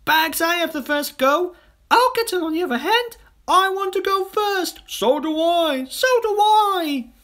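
Excitable storybook schoolkid, male reader, pitched well up, eager and exclaiming. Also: unhurried, full character voice. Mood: clamouring. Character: excited, eager young schoolchildren and small boy. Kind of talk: children's storybook character voice.

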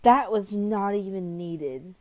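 A woman's voice: mid-range voice, expressive, slow, warm tone. A woman making wry, sarcastic asides while playing through a visual novel at home. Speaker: gaming YouTuber. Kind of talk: joking commentary asides.

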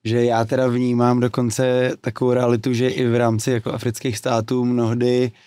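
A man's voice: mid-range voice, completely flat delivery, warm tone, clear, resonant tone, no room sound. A man speaking as a guest in a studio panel, sharing personal experience with careful hedges. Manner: draws on personal experience, hedging. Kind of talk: panel discussion. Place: small studio with wooden table. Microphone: desk mic on boom arm.

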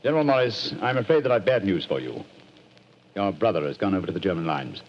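An actor playing a cool, precise detective with crisp, measured diction in a 1940s radio drama. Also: male voice, sits low, lively intonation, slight background noise. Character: cool, precise male detective, clipped and confident. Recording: dull lo-fi 1940s radio recording.

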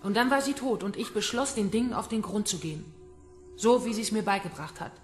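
Actress as a cool, taunting kidnapper over music. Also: female voice, medium pitch, expressive, fairly quick, close to an everyday voice. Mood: coolly vengeful. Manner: composed, mocking. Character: cool, taunting female kidnapper bent on revenge. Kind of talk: radio crime drama acting. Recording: music bed under the voice.

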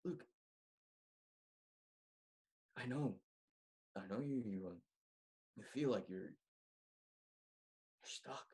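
A young man in his twenties performing a dramatic monologue as a worried, exasperated roommate pleading in halting phrases. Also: mid-range voice, a little mellow, breathy edge. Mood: subdued, worried. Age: 20s. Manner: halting, repeating words. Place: small room indoors at home. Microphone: webcam at arm's length.

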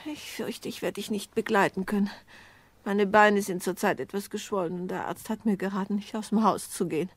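An actress playing an ailing, sweetly domineering old mother in a German radio drama, with plaintive, theatrical, drawn-out phrasing. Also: medium pitch, steady tone, breathy edge, no room sound, lightly acted. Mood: gently complaining. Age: older.

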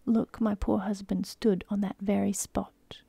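A woman voicing a grieving young wife, in a soft, slow unvoiced whisper. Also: mid-range voice, little pitch movement, creaky, husky, dry recording, heavily acted. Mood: grief-stricken. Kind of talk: whispered storytelling.

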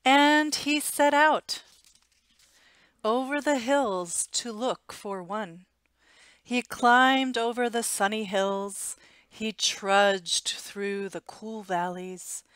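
An American woman in her thirties reading a children's picture book aloud in an expressive, deliberate way, on a headset mic in a small room at home. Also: on the high side, smooth, no echo. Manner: expressive, deliberate, simple storytelling for young children. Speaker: storytime reader.

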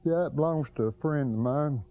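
An older man and garage owner answering questions in a plainspoken, hesitant way with many pauses, speaking in a large cluttered garage workshop. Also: a little high, dark tone, slight background noise. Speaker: car restorer, garage owner. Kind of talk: casual interview answers.

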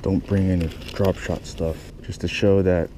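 A man's voice: deep voice, level tone, a little slow, noisy background. A man talking casually to himself while fishing from a kayak on a lake, swearing now and then, recorded on a head-mounted action camera. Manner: muttering to himself, swears often. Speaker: fishing vlogger. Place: small kayak on a lake outdoors.

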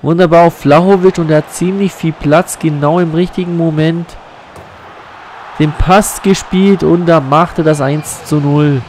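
A man's voice: on the high side, steady tone, measured pace, darkish tone, a little hiss or hum. A man with a cold and a blocked nose, commenting on a football video game he is playing, recorded on a USB condenser mic at home. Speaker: gaming YouTuber.

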